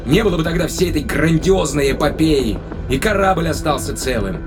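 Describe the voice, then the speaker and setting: A man's voice: on the high side, lively intonation, moderate pace, natural voice. A man acting a skeptical, defiant spaceship crewman in a science-fiction audio thriller, speaking in a probing, sardonic way. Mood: exasperated. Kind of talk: dramatized sci-fi thriller audio play. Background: dramatic music.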